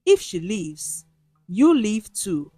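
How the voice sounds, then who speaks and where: A woman voicing a frail, regretful old man, a chief near death, in a storybook tale, speaking weakly and haltingly. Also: mid-range voice, big pitch swings, mellow, breathy edge, no room sound, soft background music, full character voice. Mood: weak but firm. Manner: weak, halting, confessional. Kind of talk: storybook narration dialogue.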